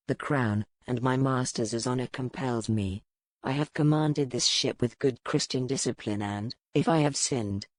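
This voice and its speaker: Old male sea captain, played by an audiobook narrator: pious, cruel, formal log-entry delivery, low register. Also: a little mellow, dry recording, natural voice. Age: older. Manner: clipped, severe, righteous. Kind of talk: formal ship's log read as dramatic narration.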